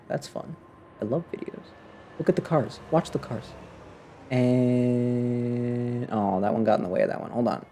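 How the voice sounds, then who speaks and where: Mellow-voiced man streaming a video game. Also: mid-range voice, slight background noise. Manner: wry, offhand, joking. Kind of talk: casual live game commentary. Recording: game music under the voice. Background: game music.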